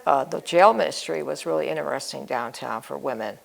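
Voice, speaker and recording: A woman's voice: sits low, fairly quick, creaky, rough recording. An older American woman giving a personal interview, plain-spoken, answering in short, fragmentary phrases. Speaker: interview guest. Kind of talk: personal life-story interview.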